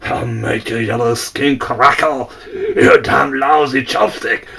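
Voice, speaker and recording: A man's voice: on the low side, expressive, slow pace, vocal fry, recorded from a distance, pitched below the performer's own voice, exaggerated voice. A man playing a brutal, furious old sea captain who bellows threats. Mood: raging.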